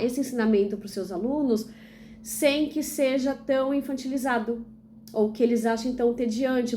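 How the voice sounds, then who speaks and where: A woman's voice: fairly high pitch, warm tone, a little hiss or hum. Young woman demonstrating a classroom activity. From Brazil. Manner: explains step by step, asking and answering her own questions. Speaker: teacher trainee. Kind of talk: instructional demonstration video.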